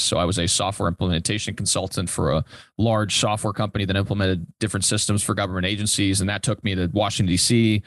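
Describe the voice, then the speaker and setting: Man, young adult, casual podcast guest, quick pace, slightly low pitch, dry close sound. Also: fairly bright tone, no echo. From Europe, born in Italy and raised there and in Germany until fourteen. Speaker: podcast guest, commercial real estate broker. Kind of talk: casual interview chat.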